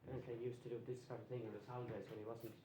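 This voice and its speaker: A man's voice: mid-range voice, flat delivery, racing, dark tone, a little hiss or hum. Irish man lecturing in an echoey room. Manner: conversational, explanatory, checks in with "okay?". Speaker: guest lecturer on place names. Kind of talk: public lecture to a local society. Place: meeting room with an audience.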